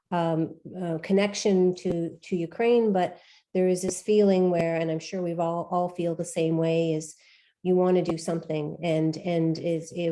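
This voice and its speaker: A woman running a volunteer orientation, speaking haltingly with many restarts and repeated words. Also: lowish voice, warm tone. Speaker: media company CEO. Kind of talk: presenting at an online orientation.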